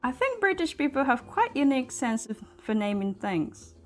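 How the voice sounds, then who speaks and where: A woman's voice: high-pitched, sing-song, moderate pace. A woman from Japan with a Japanese accent, hosting a casual chat in English for language learners. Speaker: host. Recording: music behind the voice. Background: guitar music.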